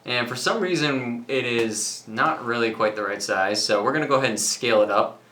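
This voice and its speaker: Young male tutorial co-host, bright and punchy, goofy asides, echoey small studio. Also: mid-range voice, brisk, slight background noise. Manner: jokey, self-mocking, talks through steps. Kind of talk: casual software tutorial with banter. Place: small home recording studio.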